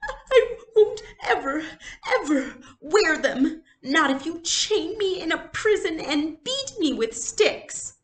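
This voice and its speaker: A woman voicing a stubborn, indignant little girl from a storybook, protesting in a shrill, tearful whine. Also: big pitch swings, slow, reverberant, exaggerated voice. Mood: tearful tantrum. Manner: bellowing, sputtering, wailing protest.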